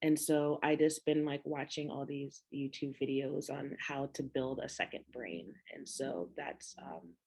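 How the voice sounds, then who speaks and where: A woman's voice: extremely low pitch, a little slow, vocal fry, hardly any pauses, a little mellow. Sheepish female panelist on a call. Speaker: panelist, senior associate. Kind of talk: casual panel talk. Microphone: video call.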